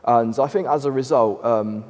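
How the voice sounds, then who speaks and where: Raspy man with a reverberant sound. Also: medium pitch, moderate pace, darkish tone. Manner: rambling storyteller, many restarts. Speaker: band member guest. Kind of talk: casual interview chat. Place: film studio stage. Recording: studio with long reverb.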